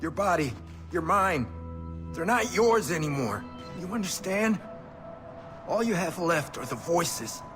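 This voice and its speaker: A man's voice: fairly high pitch, expressive, unhurried, long pauses, music in the background. An actor playing a bitter, haunted man who taunts erratically and swears in a video game cutscene. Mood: bitter menace. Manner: mocking, profane, erratic, emphatic.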